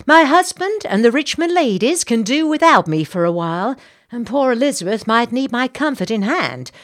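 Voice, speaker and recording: A woman's voice: fairly high pitch, big pitch swings, moderate pace, voice pushed up. A woman voicing a fretful, bossy, gossipy Southern society matron in an audiobook, with an American Southern accent and an indignant, insistent manner. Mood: determined.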